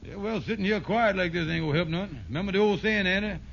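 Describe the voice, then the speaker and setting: A fretful schemer with a high voice, folksy, dramatic and wheedling in old radio sitcom style. The recording is dull, with faint noise. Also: male voice, quick pace, creaky, fairly bright tone. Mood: fretful, indignant. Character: fretful, scheming, fast-talking buddy in trouble, a man. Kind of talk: 1940s radio sitcom acting. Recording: muffled, noisy vintage radio recording.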